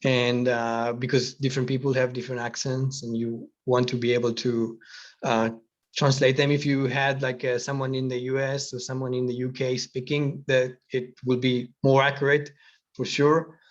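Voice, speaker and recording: A man's voice: medium pitch, level tone, normal speed. A man with an Israeli accent speaking on a panel over a video call, thinking aloud with many fillers. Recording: muffled video call audio.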